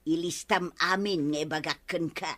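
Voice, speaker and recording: Sharp, nagging Ilocano village wife. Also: female voice, deep voice, fairly even intonation, normal speed. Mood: bossy, gossipy. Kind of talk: Ilocano radio comedy drama acting.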